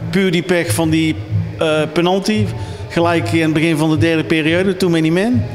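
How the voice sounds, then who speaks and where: A man's voice: fairly high pitch, steady tone, normal speed. A man, chatting informally as a sports interviewer. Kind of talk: casual post-game interview. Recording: reverberant room, music behind.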